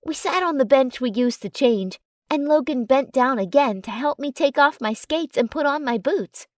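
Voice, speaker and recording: A woman's voice: high-pitched, expressive, normal speed, no echo, studio quality. A woman reading scripted first-person fiction as an audiobook narrator.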